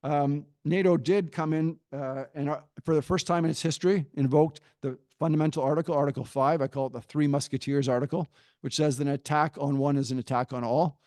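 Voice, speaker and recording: A middle-aged man, a professor, giving a prepared argument at a panel in a measured way, pausing often with fillers. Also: on the high side, darkish tone, dry recording, studio quality. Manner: measured, pauses with frequent fillers.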